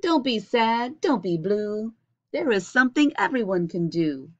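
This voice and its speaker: A woman voicing a friendly, encouraging young male cat in a slow, sing-song storybook manner. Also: mid-range voice, sing-song, no echo. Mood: consoling. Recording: dull lo-fi webcam recording.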